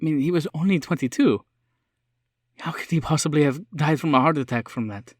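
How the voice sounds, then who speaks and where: A man voicing a troubled, guarded academic woman in a tabletop game, speaking in an earnest, measured way. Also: fairly high pitch, no echo, clean studio sound, lightly acted. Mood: troubled, puzzled. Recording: music behind the voice.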